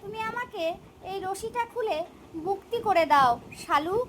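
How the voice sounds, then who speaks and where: A woman voicing a hungry, gullible tiger in an animated children's story, bossy but easily scared, with drawn-out delivery. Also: very high voice, slight background noise. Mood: pleading.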